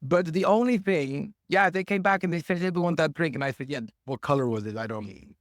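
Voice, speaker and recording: A man being interviewed as a podcast guest over a remote call, thoughtful and halting, often rephrasing himself. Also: on the high side, no echo, studio quality. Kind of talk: casual interview chat.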